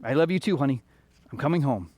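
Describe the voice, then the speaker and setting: Casting: actor as earnest, flustered young male banker in a radio play, very lively intonation. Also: medium pitch, quick pace, lightly acted. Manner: earnest, stammering. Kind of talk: radio drama acting.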